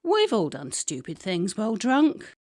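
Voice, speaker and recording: A British actress playing a sensible, dry-witted office worker in a comedy audio drama, teasing in a matter-of-fact way. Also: female voice, mid-range voice, huge pitch swings, a little slow, a little mellow, dry recording. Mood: mild reproach.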